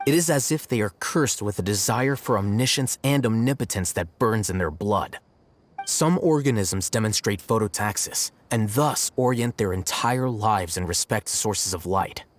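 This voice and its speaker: Game scribe male actor: young, cerebral, detached, lowish voice, academic delivery. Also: natural voice. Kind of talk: scripted game dialogue.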